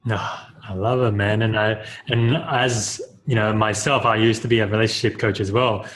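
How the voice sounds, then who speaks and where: A man's voice: fairly low pitch, fairly even intonation, moderate pace, a bit bright, a little hiss or hum. A man hosting a podcast, introducing his guest in a casual, enthusiastic way with many fillers. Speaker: podcast host. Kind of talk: podcast interview.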